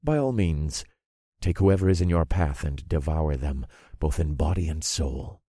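A man voicing a smug, cruel dark lord in an audiobook, with a deliberate, growling, sneering manner. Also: a bass voice, husky, dry recording, studio quality, full character voice. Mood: commanding. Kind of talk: audiobook character voice.

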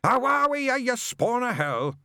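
A man's voice: high voice, brisk, fairly bright tone, no room sound, clean studio sound, voice pushed up. A man voicing a fanatical, angry old witchfinder, snarling and declaiming in a storybook reading. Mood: furious accusing.

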